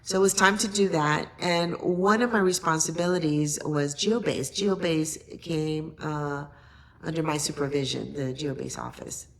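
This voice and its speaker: A woman's voice: low-pitched, fairly even intonation, a little slow. A woman guest on a podcast casually telling an anecdote, voicing quoted exchanges in a conversational way.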